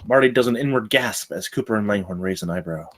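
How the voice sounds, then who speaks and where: A man's voice: on the low side, fast talker, a bit bright. A man reading stage directions as the narrator of a screenplay table read.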